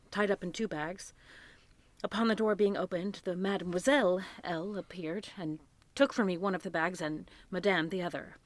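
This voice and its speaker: A woman in a live radio drama playing earnest male witnesses giving deliberate, theatrical testimony. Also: on the low side, fairly bright tone, dry recording, close to an everyday voice. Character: male witnesses: earnest bank clerk, Spanish undertaker.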